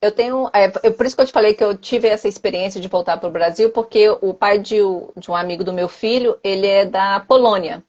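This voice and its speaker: A woman's voice: medium pitch, brisk, few pauses, a little mellow, lo-fi sound. A woman in her thirties with a Brazilian Portuguese accent, chatting as an interview guest, often repeating and restarting phrases and adding tag questions. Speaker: interview guest, former student. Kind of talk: casual conversational interview.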